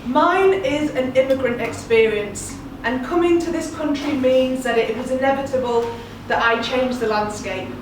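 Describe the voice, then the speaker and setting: A woman, giving an earnest, measured spoken-word monologue. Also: high-pitched, background noise. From England. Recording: very echoey room, distant mic.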